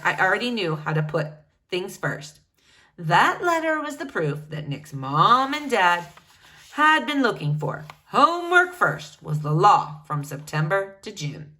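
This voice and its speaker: Woman, teacher, read-aloud to children, high voice, very animated pitch. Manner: animated, expressive, singsong address to kids.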